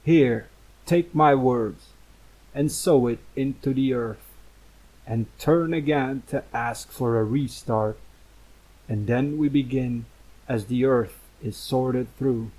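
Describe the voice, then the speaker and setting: A man's voice: mid-range voice, takes it slowly, a little mellow, rough recording. A man reading a poem aloud as a recitation. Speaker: poem reader. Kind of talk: poetry recitation.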